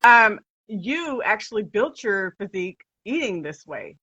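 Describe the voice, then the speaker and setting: A woman's voice: mid-range voice, very animated, measured pace, pushed and bright, slightly rough, no room sound, dull audio. A woman hosting an interview, asking questions in an enthusiastic, chatty way with casual slang. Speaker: podcast host.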